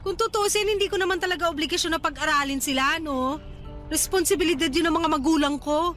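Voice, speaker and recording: A vain young resort worker in a Filipino radio drama. Her Filipino-accented voice is very high and sharp, with theatrical, indignant delivery over faint music. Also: fast talker. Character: vain, ambitious young resort worker weary of family burdens.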